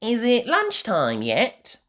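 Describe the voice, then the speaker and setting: The narrator voicing an excitable, cheerful little girl pig in a children's storybook, exclaiming in an eager, childlike way. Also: mid-range voice, slow pace, pitched above the performer's own voice, heavily acted.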